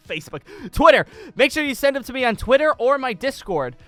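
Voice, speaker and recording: A young man giving a casual, enthusiastic video tutorial, excitable and emphatic, often repeating himself. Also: extremely high pitch, moderate pace, faint music behind. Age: young adult.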